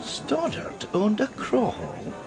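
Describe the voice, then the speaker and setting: An actor playing a discreet male art dealer in a radio crime drama, speaking in a deliberate, hesitant, euphemistic way. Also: high voice, huge pitch swings, gravelly, a crowd in the background, exaggerated voice. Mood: informative, curious. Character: male art dealer George, heartbroken, guarded, discreet old friend.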